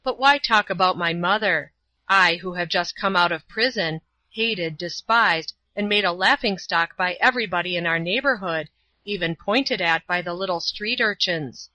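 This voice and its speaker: A woman's voice: on the low side, normal speed, pushed and bright, dry recording, poor audio. A woman voicing a hardened, bitter man fresh from prison who despises himself. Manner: bitter, self-loathing. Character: hardened, remorseful ex-convict man.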